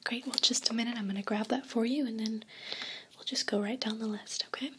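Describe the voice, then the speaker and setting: A woman gently whispering an ASMR role play as an attentive, unhurried shop clerk, close to a binaural mic. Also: a little high, steady tone, a little hiss or hum. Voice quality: breathy whisper. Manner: gentle, unhurried, attentive customer-service patter. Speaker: ASMR performer playing a herb shop clerk. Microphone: close binaural microphone. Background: crinkling and rustling paper sounds.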